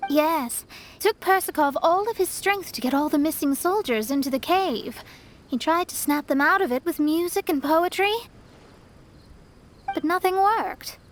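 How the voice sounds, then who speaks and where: A woman's voice: high-pitched, lively intonation, moderate pace. A woman voicing an earnest young female investigator in a video game dub, speaking in an articulate, explanatory way.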